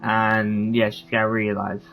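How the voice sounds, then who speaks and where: A man's voice: lowish voice, completely flat delivery, measured pace, fairly bright tone. A man giving casual, off-the-cuff commentary as he plays a video game. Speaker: gamer commentating playthrough. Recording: game music behind the voice.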